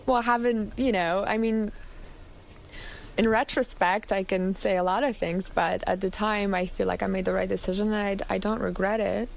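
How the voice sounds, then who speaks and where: A woman's voice: mid-range voice, fairly even intonation, moderate pace, vocal fry, poor audio. A woman from Canada speaking conversationally as the guest in a radio interview. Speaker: interview guest, memoir author.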